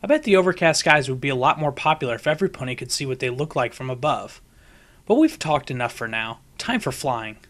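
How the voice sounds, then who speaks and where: A man's voice: medium pitch, lively intonation, a little fast, a bit bright, lightly acted. A man voicing a brash, boastful young female pegasus pony in a storybook reading, cocky and emphatic. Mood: cocky, casual. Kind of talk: fan fiction audiobook character voice.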